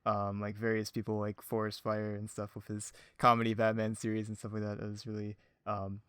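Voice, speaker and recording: A man's voice: on the low side, monotone, brisk, fairly bright tone, clear, resonant tone, dry recording, studio quality. Self-deprecating, rambling male podcast guest. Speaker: podcast guest, hobby audio drama creator. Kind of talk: casual chat, telling anecdotes.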